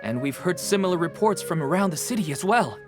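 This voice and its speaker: A woman's voice: fairly low pitch, animated, quick pace, lightly acted. A woman playing a dutiful, upbeat adult reporting to her superior in a video game. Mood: relieved, eager. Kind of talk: video game dialogue acting. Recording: clear music behind the voice.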